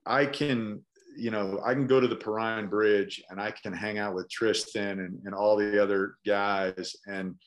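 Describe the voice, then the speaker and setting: A low-voiced man speaks candidly on a video call, reflecting and often restarting his sentences. His pitch sits lower than most men's. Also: fairly even intonation, a little slow. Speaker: veteran guest. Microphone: webcam mic on video call.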